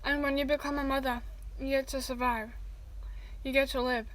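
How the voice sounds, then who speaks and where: A woman with a high voice reads fan fiction aloud at home and drifts into rambling chat full of fillers. She talks while eating. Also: level tone, moderate pace, slight background noise, poor audio.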